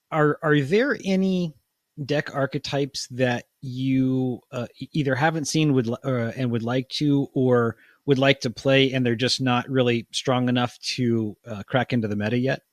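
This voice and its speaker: Halting man host with a dry sound. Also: mid-range voice, no echo. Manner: halting, many restarts and fillers. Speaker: podcast host. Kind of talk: casual chat.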